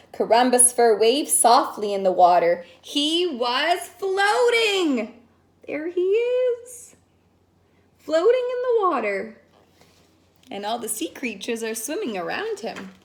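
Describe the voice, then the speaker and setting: A woman teacher reading a picture book aloud in an expressive, sing-song way, stretching words and adding asides to young listeners. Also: high voice. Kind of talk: animated children's story read-aloud with asides to listeners.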